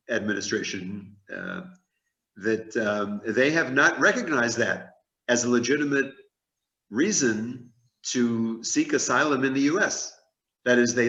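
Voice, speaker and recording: A man answering an audience question, hesitating and pausing between phrases. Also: fairly low pitch, fairly bright tone. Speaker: guest speaker. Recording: echoey, muffled.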